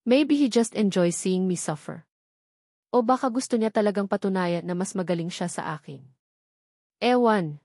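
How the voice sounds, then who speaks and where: A woman's voice: mid-range voice, moderate pace, pauses often, a little mellow, no room sound, lightly acted. A weary young husband in a romance audio drama, voiced by a woman narrator. He sounds troubled and worn down, in a dry, close recording. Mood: bitter, resigned.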